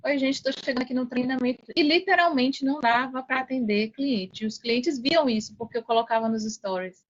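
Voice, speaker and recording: A woman's voice: high-pitched, a little fast, hardly any pauses. A Brazilian woman speaking casually as an interview guest, recounting her experience. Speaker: podcast guest, affiliate marketer. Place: online video call. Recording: dull, lo-fi call audio.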